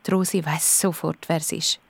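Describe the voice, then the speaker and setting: A woman's voice: low-pitched, little pitch movement, normal speed, vocal fry, breathy edge, dry recording. A woman with a Swiss German accent narrating a scripted children's story.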